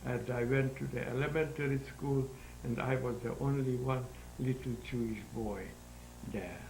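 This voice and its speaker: A man in his nineties from Hungary, speaking in halting, searching sentences as an interview guest. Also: mid-range voice, fairly even intonation, vocal fry, a little mellow. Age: 90s. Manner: halting, searching for words, non-native grammar. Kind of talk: interview answers. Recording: roomy echo with faint hum.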